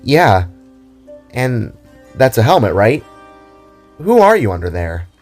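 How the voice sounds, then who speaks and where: A man voicing a goofy, excitable, chatty young girl adventurer with playful, rambling speech in an audio drama. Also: mid-range voice, takes it slowly, faint music behind. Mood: curious, eager. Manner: playful, sarcastic, rambling with false starts.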